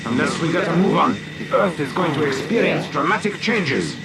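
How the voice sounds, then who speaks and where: A man voicing an excitable, blustering older professor in a storybook, roaring his exclamations in a strained voice. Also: on the high side, normal speed, gravelly, very noisy, voice pushed up. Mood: urgent.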